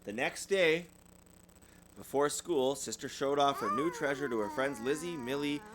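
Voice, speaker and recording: A man's voice: medium pitch, lively intonation, moderate pace, a bit bright, rough recording. A man reading a children's storybook aloud as a parent would, expressively and with small stumbles. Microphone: USB desktop microphone.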